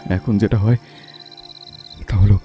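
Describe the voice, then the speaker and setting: A man's voice: lowish voice, steady tone, unhurried, highs cut off, faint music behind, natural voice. An actor playing a haunted, guilt-ridden doctor in an audio horror drama, stammering and repeating his words. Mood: anguished.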